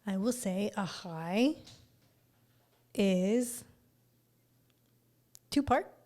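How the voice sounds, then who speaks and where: A woman with a relaxed, mellow voice that has a slight rasp and a tired edge. She chats informally as a podcast co-host, keeping things low-key at a middling pitch. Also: extremely slow. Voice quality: slightly raspy. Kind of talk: casual chat.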